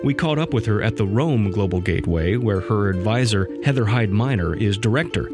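A man with a fairly low voice reads scripted podcast narration in an even, polished way. Music runs clearly beneath his words. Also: fairly quick. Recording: music bed underneath.

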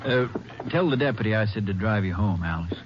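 An American actor playing a sympathetic, plain-spoken middle-aged small-town Texas sheriff with a folksy manner, in a 1950s western radio drama. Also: male voice, on the low side, expressive, brisk, lightly acted. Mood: somber. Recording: muffled, noisy 1950s radio recording.

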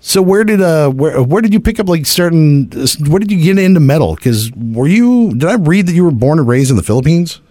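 A man co-hosting a podcast, speaking in a halting way and often restarting his sentences. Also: on the high side, expressive, dark tone, no room sound. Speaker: podcast co-host. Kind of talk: casual interview chat.

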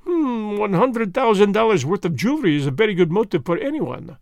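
A man's voice: high-pitched, expressive, a little fast, creaky, darkish tone, dry recording, studio quality, pitched above the performer's own voice. A man reading the part of a fussy, vain elderly Belgian detective who speaks precisely and drops French words. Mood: placid reasoning.

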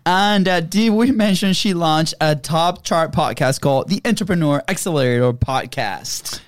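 A man's voice: on the high side, normal speed, no room sound, studio quality. A man co-hosting a live podcast in a casual, effusive way, often repeating words and phrases. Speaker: podcast co-host.

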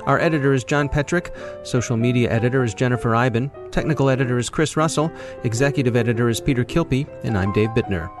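A man hosting a podcast, reading the closing credits in a brisk, formal way. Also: mid-range voice. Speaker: podcast host. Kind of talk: scripted credits read. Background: guitar music.

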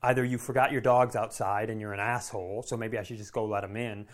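A man's voice: on the low side, quick pace. A man hosting a comedy podcast, telling a story casually and animatedly, swearing freely and acting out the dialogue. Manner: animated, profane, acts out quoted dialogue.

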